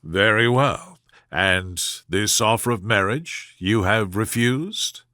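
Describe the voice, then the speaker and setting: Man playing a dry, unruffled father, crisp and close. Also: lowish voice, no room sound, pitched below the performer's own voice, exaggerated voice. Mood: stern deadpan. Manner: calm, deliberate deadpan. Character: dry, unruffled, sardonic older father, a country gentleman. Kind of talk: storybook character voice in classic novel reading.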